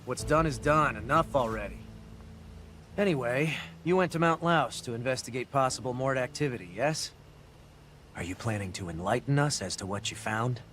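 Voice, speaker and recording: Man as impatient sellsword, sardonic, dismissive, average pitch, video game drama, slight background noise. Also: normal speed, fairly bright tone, natural voice. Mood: irritated, sardonic. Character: cynical, impatient male mercenary.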